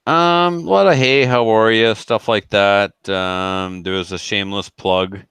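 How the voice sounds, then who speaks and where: A man's voice: lowish voice, steady tone, a little slow, fairly bright tone, clear, resonant tone, no echo. A man co-hosting a podcast in casual banter, joking and rambling, often trailing off. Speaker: podcast co-host.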